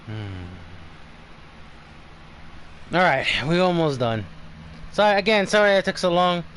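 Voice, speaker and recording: A man's voice: high-pitched, big pitch swings, moderate pace, a bit bright, a little hiss or hum. A man commentating on a gameplay stream in a muttering, foul-mouthed way.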